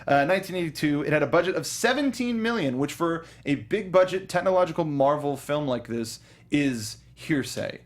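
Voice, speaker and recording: A man's voice: fairly high pitch, animated, moderate pace. Rambling young man reviewing a film. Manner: rambling, many restarts and fillers. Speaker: podcast co-host. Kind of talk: casual movie review chat.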